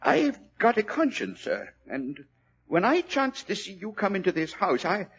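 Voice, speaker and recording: An actor playing a gruff, stammering old book collector with a quavering voice in a 1940s radio drama. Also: male voice, sits high, very animated, measured pace, fairly bright tone, full character voice. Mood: apologetic. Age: older.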